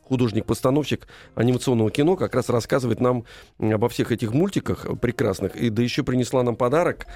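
A man hosting a live radio talk show in an informal, halting way, repeating himself. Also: mid-range voice, darkish tone. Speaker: radio host.

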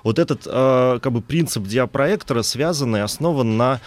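A man in his thirties explaining as an expert guest in an interview, with a halting, hesitant delivery full of trailing asides. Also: mid-range voice. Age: 30s. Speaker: guest expert, animation historian.

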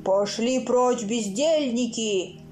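A woman's voice: a little high, slow pace, darkish tone, faint music behind, poor audio. A woman voicing a snobbish, indignant male musical instrument in a storybook, haughty and exclamatory. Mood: indignant, scolding.

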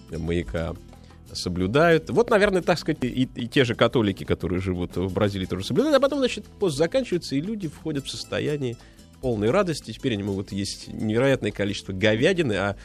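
Lively low-voiced male radio host. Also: a little fast, soft background music. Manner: lively, rambling with fillers and restarts. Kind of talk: casual radio interview.

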